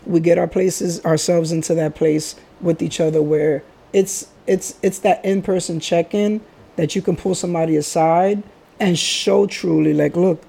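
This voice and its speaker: A man's voice: on the high side, fairly even intonation, moderate pace, gravelly, mellow, slightly rough. A middle-aged man from the Bronx hosting a podcast, talking in a reflective, rambling way and laughing often. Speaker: podcast host. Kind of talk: casual podcast chat.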